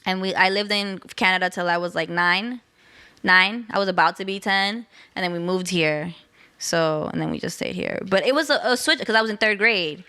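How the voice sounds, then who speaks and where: A woman's voice: medium pitch, moderate pace, smooth, no echo. A young woman from Colombia, a native Spanish speaker, chatting casually as a podcast guest and telling her story with slang. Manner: laid-back, animated storytelling with slang. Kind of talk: casual chat.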